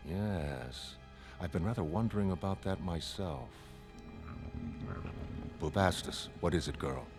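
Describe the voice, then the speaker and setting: A man voicing a coldly arrogant genius villain, smooth, unhurried and superior, in a motion comic. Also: low-pitched, animated, over music. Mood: cold pride. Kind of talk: dramatic motion comic narration.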